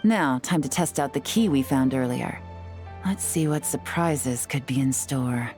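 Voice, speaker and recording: A low, composed voice of a female secret agent, calm and measured with quiet confidence. She gives plans without hurry. Faint game music sits underneath. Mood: cool. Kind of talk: video game voice acting.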